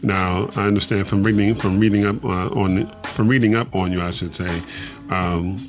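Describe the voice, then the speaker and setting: A man hosting an interview show, speaking haltingly with many uhs and restarts. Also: low voice, steady tone, music in the background. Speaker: podcast host.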